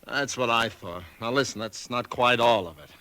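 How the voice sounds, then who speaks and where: A brisk, bright-voiced reader of clippings. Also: male voice, medium pitch, a little hiss or hum. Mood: matter-of-fact. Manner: brisk, matter-of-fact reading. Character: businesslike man reading out notes and a clipping. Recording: 1940s radio broadcast, dry sound.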